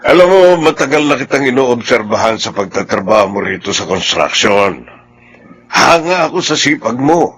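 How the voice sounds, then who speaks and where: A man's voice: mid-range voice, animated, normal speed, a bit bright, a little hiss or hum, natural voice. A man acting in a Filipino radio drama as a jovial, encouraging senior architect, speaking heartily to an employee.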